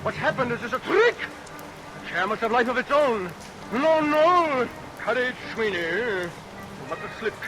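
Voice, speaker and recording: A theatrical, murderous barber villain. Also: male voice, extremely high pitch, measured pace. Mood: agitated, furious. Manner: theatrical, swings from fawning to furious snapping. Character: murderous, greedy London barber, oily and menacing villain. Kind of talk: old-time melodramatic radio acting. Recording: noisy vintage radio recording, rain over it. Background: steady rain.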